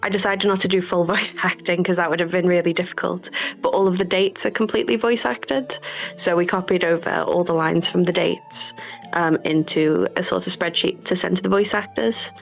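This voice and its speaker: A woman's voice: lowish voice, fairly even intonation, a little fast, vocal fry. A woman being interviewed as a game developer, casually and modestly explaining how she works. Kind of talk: casual explanation of a work process. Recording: dull lo-fi call audio, faint music.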